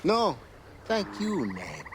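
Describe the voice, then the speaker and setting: An actor playing a flamboyant, teasing gentleman in an ancient Egyptian video game, arch, coy and theatrical. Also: high voice, wildly swooping pitch, slow pace, a little hiss or hum, exaggerated voice.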